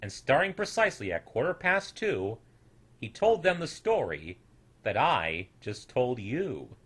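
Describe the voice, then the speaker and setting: A male storyteller narrates a rhyming children's tale in an expressive style. His pitch moves around a lot, the pace is unhurried, and the voice is slightly husky.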